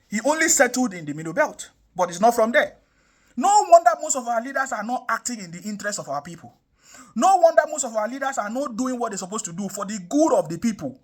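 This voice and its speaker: A young Nigerian man from the South South giving impassioned political commentary, emphatic and repetitive, with frequent rhetorical questions. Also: very high voice. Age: young adult. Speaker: online commentator.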